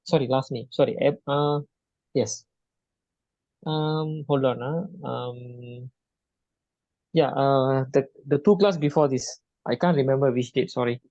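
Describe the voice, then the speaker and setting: A man from Malaysia hesitantly sharing his experience in a coaching session, often restarting and filling pauses. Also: mid-range voice, mellow, no room sound. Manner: hesitant, many restarts and fillers. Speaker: session participant. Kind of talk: halting personal sharing. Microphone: video call. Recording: dull lo-fi call audio.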